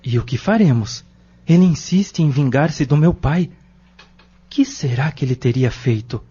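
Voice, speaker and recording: A man's voice: fairly high pitch, lively intonation, a little slow, dark tone, breathy edge, exaggerated voice. A man playing a devout, worried young man in an audio drama, earnest and concerned in manner. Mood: wondering, worried. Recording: dull lo-fi audio.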